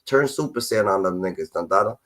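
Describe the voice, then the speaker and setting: A man's voice: on the low side, expressive, a little fast, fairly bright tone. A man in his twenties in casual livestream chat, talking emphatically and preaching, repeating catchphrases. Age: 20s.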